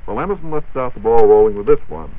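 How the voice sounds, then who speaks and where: A man's voice: lowish voice, very animated, quick pace. An American man hosting a 1940s radio show, presenting questions in a partly scripted style. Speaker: radio host reading listener questions. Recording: dull, faintly noisy 1940s radio recording.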